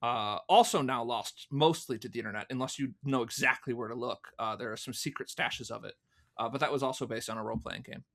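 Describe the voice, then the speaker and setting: Male podcast guest, thirties, crisp forward tone, casual storyteller who voices his own remembered thoughts. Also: mid-range voice, a little fast, fairly bright tone. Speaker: podcast guest, audio drama producer.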